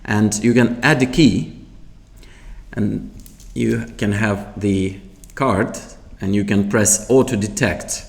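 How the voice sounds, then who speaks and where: A man giving an unscripted product tutorial in a halting, explanatory way. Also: fairly low pitch, creaky. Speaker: product demonstrator.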